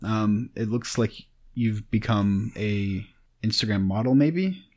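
A man's voice: on the low side, takes it slowly, a little mellow. A man hosting a casual podcast, teasing his guest and swearing freely. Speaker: podcast host.

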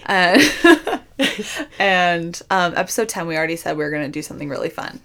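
A woman's voice: fairly low pitch, fairly quick, fairly bright tone. A young woman co-hosting a podcast in casual chat, talking loosely with lots of "like" fillers. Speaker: podcast co-host.

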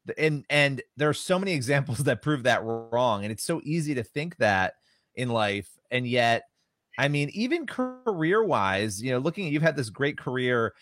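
A man's voice: medium pitch, very animated, moderate pace, a bit bright. A man hosting a faith-based interview, affirming and enthusiastic with his guest. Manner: affirming, enthusiastic, many fillers. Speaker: interview host.

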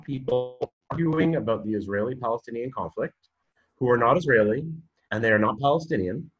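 A man's voice: mid-range voice, animated, fairly quick, highs cut off, music in the background. A man from Canada with a Canadian accent, talking as a guest in a podcast interview, hesitant with many fillers and restarts, then telling his story with growing energy. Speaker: guest, university programme director.

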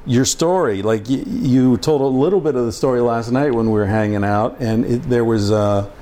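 A man's voice: medium pitch, normal speed, slight background noise. A man hosting a podcast, casually interviewing a guest with frequent fillers. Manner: relaxed, frequent "uh" fillers, short backchannels. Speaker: podcast host. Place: indoors in Bangkok.

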